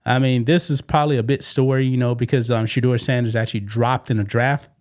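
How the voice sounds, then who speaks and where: A man with a medium-pitched voice hosts a sports commentary show. He talks through the news casually and offers his own opinions. His delivery is conversational, peppered with "man" and rhetorical questions. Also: steady tone, quick pace, a little mellow.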